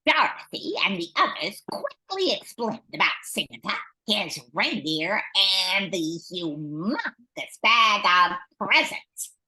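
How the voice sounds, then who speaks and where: A woman with a husky voice playing a storybook narrator in an amateur radio play. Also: mid-range voice, big pitch swings, slow pace, pushed and bright, heavily acted, low-quality recording. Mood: storytelling. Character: storybook narrator, easily exasperated.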